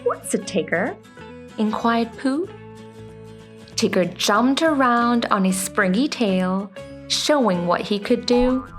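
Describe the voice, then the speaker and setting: Female storyteller, scripted children's narration, unhurried, mid-pitched, faint music behind. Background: soft music.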